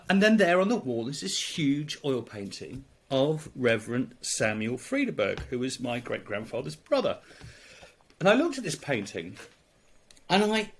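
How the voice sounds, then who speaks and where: This is a middle-aged man with an English accent and a voice pitched a bit high for a man. He is a priest recounting his own story to an interviewer, halting often with fillers, false starts and repeated words. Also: breathy edge. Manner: halting, many fillers and restarts. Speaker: priest, guest. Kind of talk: reflective storytelling in conversation.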